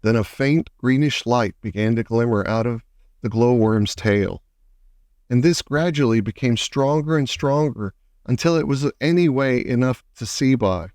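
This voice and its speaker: A man reading a children's storybook aloud as an expressive narrator. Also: mid-range voice, measured pace, dry recording, clean studio sound. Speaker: audiobook reader.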